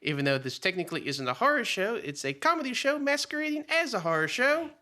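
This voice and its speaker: A man's voice: sits high, very animated, fast talker, pushed and bright, exaggerated voice. A man playing a vampire's wisecracking son, a sarcastic co-host who interjects sardonically in comedy radio theater. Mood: sardonic. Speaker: co-host sidekick.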